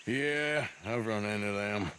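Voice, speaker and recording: An actor with an American accent playing a laconic, dry Old West outlaw in a video game, terse and understated. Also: male voice, on the low side, slow, noisy background. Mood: dry, unbothered. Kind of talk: naturalistic video game acting. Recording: loud music over the voice.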